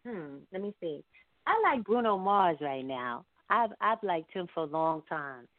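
A woman's voice: fairly low pitch, very animated, a little slow, dry recording. A woman talking casually and colloquially as an interviewed singer, with an encouraging, emphatic way of speaking. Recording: muffled phone-like line.

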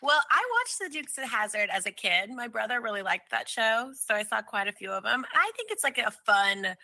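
Very bright-voiced woman in casual chat. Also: a little high, lively intonation, fairly quick, gravelly, dry recording. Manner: easygoing, informal, laughs readily. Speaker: podcast co-host.

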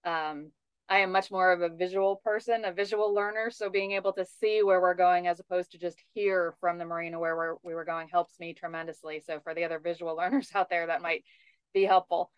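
A woman's voice: fairly low pitch, steady tone, fairly quick, clean tone, no room sound. A woman hosting in a conversational style, heard on dull, lo-fi audio. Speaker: host.

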